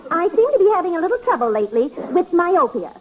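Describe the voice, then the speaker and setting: A woman's voice: very high-pitched, sing-song, fast talker. An actress playing a prim, haughty, easily outraged lady patient with proper, precise speech in a 1950s radio comedy. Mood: prim, polite. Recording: dull, noisy old radio recording, audience.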